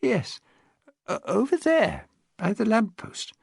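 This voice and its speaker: A man voicing a frail, refined old woman over seventy who talks in a gently fussing, well-bred way. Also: high-pitched, very animated, slow pace, vocal fry, slightly rough, no echo, studio quality, voice pushed up, heavily acted. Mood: chatty.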